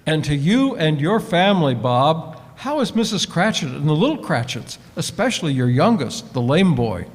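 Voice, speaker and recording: Ringing through a large hall, a man acts a good-natured young nephew in a vintage radio drama. The voice is high for a man and swings widely, earnest and buoyant. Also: very animated, moderate pace, hardly any pauses. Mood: warm, friendly. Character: cheerful, kind-hearted younger nephew of a miser. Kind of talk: 1940s radio play acting. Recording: live performance in a reverberant hall.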